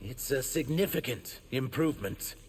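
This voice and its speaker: A man's voice: mid-range voice, expressive, normal speed, darkish tone, a little hiss or hum, close to an everyday voice. A man voicing an earnest young shapeshifting reptilian warrior in human form for a video game. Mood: wry, sincere. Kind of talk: video game character dialogue.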